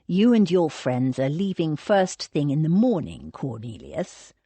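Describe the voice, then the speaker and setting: An angry aristocratic man for an audiobook, acted out by a woman. The voice is low and rough-edged, with a clipped, snarling menace. He commands and threatens, in a close, dry recording. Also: very animated, unhurried, warm tone, no echo, exaggerated voice. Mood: cold, steely. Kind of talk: audiobook character dialogue.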